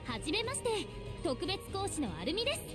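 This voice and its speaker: A carefree young woman in a visual novel, bubbly and extremely high-pitched. Her lines tumble out very fast, with loud music underneath. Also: very animated. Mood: cheerful. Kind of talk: Japanese visual novel voice acting.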